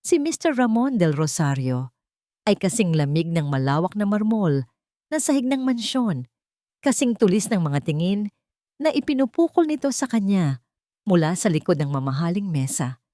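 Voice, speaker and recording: Woman storyteller, somber, measured, lowish voice, dry close sound. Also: big pitch swings, mellow, no room sound. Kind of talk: scripted narration of a drama story.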